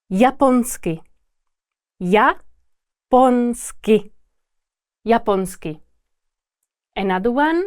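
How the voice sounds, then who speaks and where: A woman teaching a scripted language lesson, repeating each word slowly with pauses. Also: a little high, a little mellow, no echo, clean studio sound. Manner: repeats each word slowly and deliberately, pausing between repetitions.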